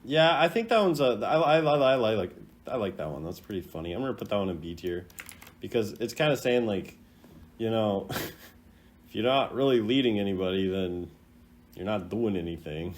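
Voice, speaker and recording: A man's voice: on the low side, expressive, moderate pace. A man hosting a video in casual unscripted commentary, rambling and hesitant in a laid-back way. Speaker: host ranking game voice lines.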